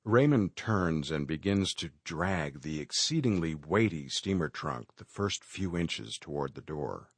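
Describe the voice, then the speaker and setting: An actor playing a wry, mock-suspense host narrator in a radio play, speaking in an arch, dramatic, emphatic manner. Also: male voice, extremely low pitch, expressive, unhurried, gravelly, hardly any pauses, slightly rough, no room sound, full character voice. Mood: dramatic, droll.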